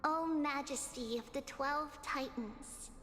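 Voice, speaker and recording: Game casting: small, wise, encouraging girl, high childlike voice, unhurried, music clearly behind. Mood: solemn recital. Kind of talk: scripted game voice acting. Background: background music.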